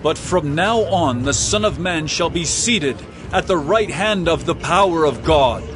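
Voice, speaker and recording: A solemn, authoritative holy teacher in a biblical audio drama. His fairly high voice moves expressively through measured, emphatic phrases, with music underneath. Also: lively intonation.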